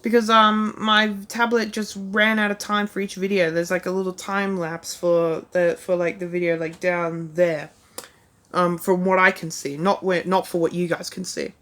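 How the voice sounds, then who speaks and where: A woman's voice: lowish voice, level tone, moderate pace, fairly bright tone. A woman talking casually about her own past videos, rambling and self-deprecating, with lots of "like" and "um" fillers. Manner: rambling, heavy use of "like" and "um".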